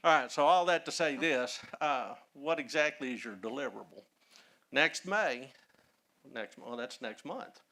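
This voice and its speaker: Folksy Texan man telling stories. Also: mid-range voice. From Texas, Dallas-Fort Worth area. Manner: folksy, animated storyteller with many "uh" pauses. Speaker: historian guest speaker. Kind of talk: casual storytelling talk.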